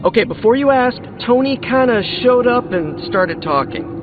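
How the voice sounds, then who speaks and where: A man's voice: very high voice, expressive, normal speed. An actor playing an eager, awkward young male superhero in a video game, earnest, chatty and a little hesitant. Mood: nervous curiosity. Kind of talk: video game dialogue acting. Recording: tinny band-limited game audio, music behind.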